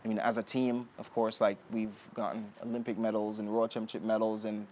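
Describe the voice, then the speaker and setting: A man's voice: on the low side, a little fast, slight background noise. Jamaican-raised young man, rambling easy talk. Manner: relaxed, rambling with many fillers and restarts. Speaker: guest, Olympic sprinter. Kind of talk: casual interview talk. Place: indoor podcast setting.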